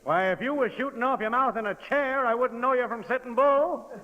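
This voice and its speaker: An actor playing an eager, folksy campaign sidekick in a 1930s radio comedy sketch. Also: male voice, extremely high pitch, brisk, dull audio. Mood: earnestly boosting. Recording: noisy, echoing 1930s radio broadcast.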